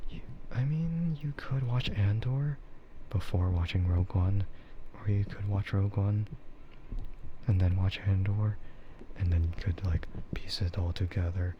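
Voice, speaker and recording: A man streaming in a soft ASMR chat style, rambling and easygoing, hesitating and often slipping into a whisper. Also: fairly low pitch, unhurried. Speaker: VTuber streamer. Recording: muffled lo-fi audio with clear noise.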